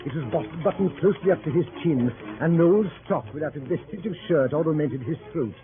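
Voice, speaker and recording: An actor playing a grandiloquent narrator of a mock-heroic tale in 1930s radio drama, formal and ornate in delivery. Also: male voice, fairly high pitch, a little fast, music in the background, lightly acted. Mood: grandly descriptive. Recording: hissy, narrow-band 1930s radio broadcast.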